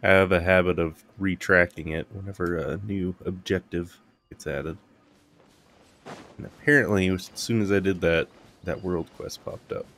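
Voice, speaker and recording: A man's voice: low voice, fairly even intonation, moderate pace, a bit bright. A man giving casual commentary as he plays a video game, like a streamer chatting to himself and viewers. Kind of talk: quiet casual gameplay commentary.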